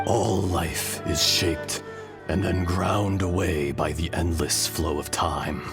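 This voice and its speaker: A weary ancient dragon spirit. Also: male voice, low-pitched, steady tone. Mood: solemn. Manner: solemn, measured, quietly hushed. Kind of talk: video game voice acting. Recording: game soundtrack music behind. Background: orchestral game music.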